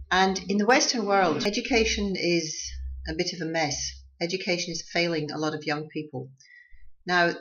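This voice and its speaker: A radio host with a mixed Scottish and Durham English accent gives a measured, reflective commentary monologue, often restarting phrases. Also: mid-range voice, fairly bright tone. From Scotland, raised partly in Durham, England. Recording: dull lo-fi recording.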